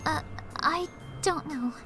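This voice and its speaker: A woman's voice: high voice, slow, sharp tone, faint music behind, exaggerated voice. A young woman voicing a shy, sweet teenage schoolgirl for a visual novel game, hesitant and polite. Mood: bashful.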